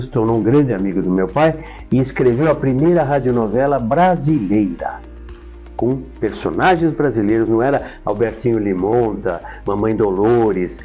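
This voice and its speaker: Older male, Brazilian accent, mellow, conversational storyteller with emphatic repeats; slightly dull sound, music underneath. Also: fairly low pitch. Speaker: interview guest.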